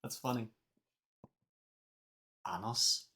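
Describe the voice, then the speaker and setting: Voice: medium pitch, very slow, sharp tone. Danish-accented streamer chatting casually. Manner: curious and laughing. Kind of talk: casual let's-play chat.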